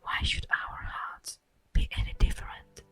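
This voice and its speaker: A woman playing an ancient, wistful immortal elf in a slow, breathy whispered ASMR roleplay monologue. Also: bright, forceful tone. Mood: earnest, impassioned. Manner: slow, theatrical, lingering pauses. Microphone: close microphone. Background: faint music.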